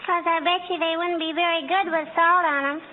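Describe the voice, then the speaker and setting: Pert little girl, very high voice, nosy and cheeky, old radio comedy, thin narrow-band recording. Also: flat delivery, brisk, a little hiss or hum. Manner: cheeky, childlike questioning with naive catchphrases. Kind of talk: 1940s radio comedy acting.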